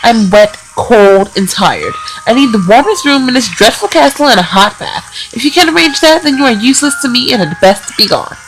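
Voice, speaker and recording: An imperious young princess acted out by a woman in a game read-aloud, pompous and impatient, giving orders as if the throne is hers. Clear music plays behind her voice. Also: a little high, sing-song, normal speed, hardly any pauses, poor audio. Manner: pompous, commanding. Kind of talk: visual novel character read-aloud.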